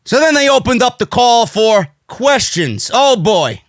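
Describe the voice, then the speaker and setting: A man's voice: extremely high pitch, very animated, a little slow, no echo, studio quality. A man with a New York accent delivering a brash, profane, indignant rant as an opinionated wrestling commentary host, hammering his points with emphasis. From United States.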